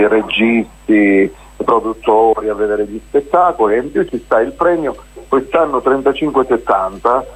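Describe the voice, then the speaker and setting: Guy on a radio interview, kinda low husky voice, lots of ehs and restarts. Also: low-quality recording. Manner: rambling, hesitant, many fillers and restarts. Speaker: festival organizer, interview guest. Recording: radio broadcast.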